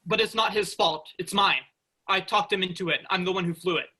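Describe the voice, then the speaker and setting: Brash would-be starship captain, eager and cocky played by a male actor, high pitch, very sharp forceful tone, sci-fi comedy reading. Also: fairly quick, slightly rough, heavily acted. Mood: earnest. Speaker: actor in a student play reading.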